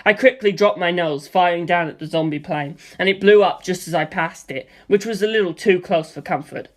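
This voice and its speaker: Someone reading a novel out loud, mid pitched voice, really expressive, throws themself into the action. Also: fairly quick. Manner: acts out sound effects with gusto. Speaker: narrator reading a novel aloud. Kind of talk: expressive storytelling, first-person narration.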